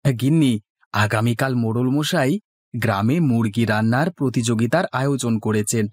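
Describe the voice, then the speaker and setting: A man playing an eager, flattering, scheming village milkman husband in a cartoon, with theatrical exclamations. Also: medium pitch, moderate pace, no room sound, natural voice. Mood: coaxing. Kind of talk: Bengali cartoon dialogue. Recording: music bed behind the voice.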